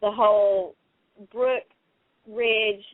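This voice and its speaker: A woman's voice: medium pitch, steady tone, extremely slow. Female radio panelist, conversational and emphatic, medium register, narrow phone-line audio without room echo. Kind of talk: casual chat about TV soaps. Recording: dry, tinny phone line.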